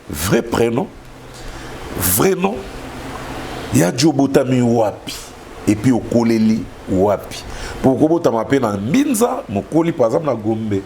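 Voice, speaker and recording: Man, Congolese French accent, interviewer, gravelly, lively pitch, jokey and chatty. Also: a little hiss or hum. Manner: jokey, chatty, laughs often. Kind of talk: casual interview, mixing in Lingala.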